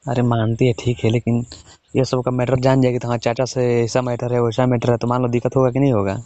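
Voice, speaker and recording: A man's voice: mid-range voice, little pitch movement, brisk, warm tone, no echo. A man in a casual private phone conversation, giving instructions insistently and repeatedly checking that he is understood. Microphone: phone call. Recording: dull lo-fi phone call recording.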